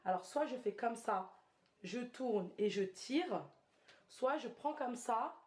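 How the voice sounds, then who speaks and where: A woman's voice: on the high side, a little mellow. Congested woman chatting through a hair tutorial. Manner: chatty, slangy, talks while demonstrating. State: stuffy and congested from a cold. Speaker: beauty vlogger. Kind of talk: casual hair tutorial chat.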